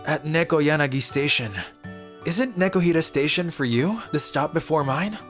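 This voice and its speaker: A woman plays a shy, sleep-starved teenage boy from a manga dub, pitched low for her and speaking softly with little hesitations. Faint music plays behind him. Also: normal speed, close to an everyday voice. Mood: shy polite. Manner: soft-spoken, hesitant.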